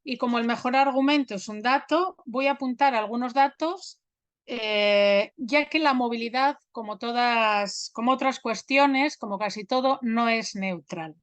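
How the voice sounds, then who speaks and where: A woman moderating an online event, introducing the topic and reading out figures in a measured way over a video call. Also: fairly high pitch, dry recording. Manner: measured, reads out figures deliberately.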